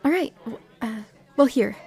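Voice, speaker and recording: A woman's voice: on the high side, expressive, moderate pace, darkish tone, breathy edge, a faint crowd, exaggerated voice. A young woman playing a shy, apologetic female hospital volunteer in an audio drama, with a hesitant manner. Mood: flustered, shy. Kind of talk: audio drama acting with reflective first-person narration.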